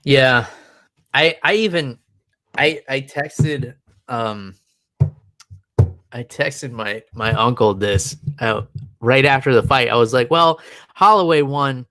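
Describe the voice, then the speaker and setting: A man's voice: medium pitch, slow, long pauses, a bit bright, no room sound. A man co-hosting a casual sports podcast, rambling with lots of fillers and restarts. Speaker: podcast co-host. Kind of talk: casual chat.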